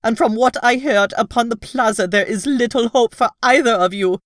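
A man reading an audiobook, voicing a gentle but fearful green alien woman who speaks urgently and anxiously in a much higher voice. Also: moderate pace, no echo, clean studio sound. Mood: alarmed. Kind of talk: audiobook character voice.

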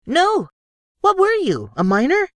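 A man voicing a cheerful, troublemaking female gnome in a high, bubbly, boisterous way for an audiobook. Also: huge pitch swings, measured pace, a bit bright, dry recording, studio quality, exaggerated voice. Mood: chatty. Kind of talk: audiobook character voice.

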